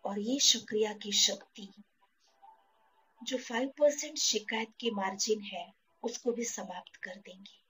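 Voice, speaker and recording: A woman from India guiding a meditation, in short, measured phrases with pauses between them. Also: mid-range voice, sharp tone, slight background noise, rough recording. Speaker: guided meditation teacher. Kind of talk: slow guided meditation. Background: background music.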